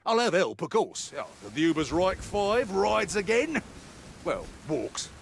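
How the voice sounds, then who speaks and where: A wry, world-weary mercenary soldier briefs the player in a video game. His carries blunt, colloquial grumbling. The pitch sits a little high for a man and moves around animatedly, over faint background noise. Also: very animated, a little slow, gravelly, heavily acted. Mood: rueful, game. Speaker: video game character voice actor. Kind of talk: scripted in-character mission briefing monologue.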